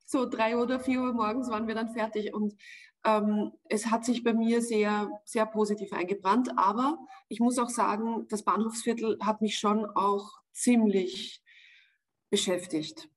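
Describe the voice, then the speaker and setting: A woman in her forties with an Austrian accent, speaking in a lively, emphatic way as a guest on a video-call interview. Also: a little high, unhurried. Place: room at home. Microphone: video call webcam.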